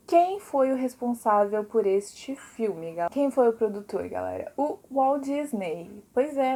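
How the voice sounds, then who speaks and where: Female teacher, Brazilian accent, higher-pitched voice; informal explainer delivery for students, peppered with checking tags. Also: darkish tone. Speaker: art teacher giving a video lesson. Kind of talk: informal scripted-free lesson explanation to students.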